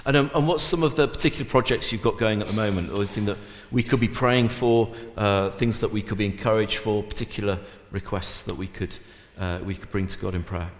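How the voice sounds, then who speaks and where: A man's voice: fairly low pitch, a little fast. Man, church host, hesitant, filler-heavy, roomy sound, long reverb. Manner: hesitant, many fillers and restarts. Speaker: church leader interviewing a guest. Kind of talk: church interview.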